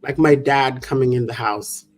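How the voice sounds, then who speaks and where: A young adult woman co-hosting a casual talk show, with a deep voice and a relaxed, thoughtful way of talking full of pauses. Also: soft background music. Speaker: co-host. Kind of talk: casual chat.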